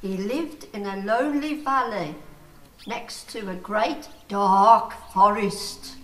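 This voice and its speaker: A woman's voice: mid-range voice, slow pace, lightly acted. A woman playing narrator and small parts in a scripted storybook radio play. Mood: plain. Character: storybook narrator, sheep and wolf. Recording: echoey room with music behind.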